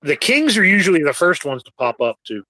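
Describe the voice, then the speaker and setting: A man's voice: a little high, sing-song, a little fast, pushed and bright, no room sound. A man co-hosting a casual livestream chat, friendly and joking in an easygoing way. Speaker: co-host. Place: Alaska.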